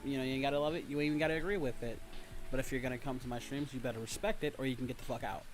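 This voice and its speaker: A man's voice: medium pitch, steady tone, very fast, faint music behind. A man hosting a livestream in casual banter, talking in a blunt, teasing, cocky manner. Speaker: livestream host and voice actor.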